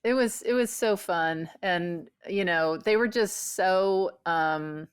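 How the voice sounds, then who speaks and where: Lower-pitched woman telling a rambling story. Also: slow pace, clear, resonant tone. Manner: rambling storytelling with many fillers. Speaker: podcast co-host. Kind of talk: casual chat.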